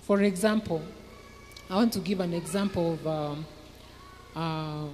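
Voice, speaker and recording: A middle-aged woman with a Kenyan English accent, explaining her church department's community work on a panel in an earnest, measured way, speaking into a handheld mic. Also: lowish voice, darkish tone. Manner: earnest, measured, restarts and fillers. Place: church TV studio set with plants, seated. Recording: long hall reverb, faint noise.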